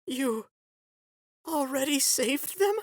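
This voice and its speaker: Woman voicing a bitter, slow-spoken teenage boy villain. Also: high-pitched, lively intonation, dry recording, clean studio sound. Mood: shaken. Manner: guarded, halting, bitter. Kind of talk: dramatic comic dub voice acting.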